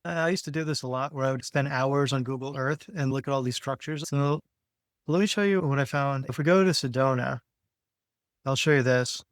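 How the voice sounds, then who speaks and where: A man explaining things casually, in an informal, halting way as he thinks aloud. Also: mid-range voice, no room sound, clean studio sound. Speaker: podcast co-host.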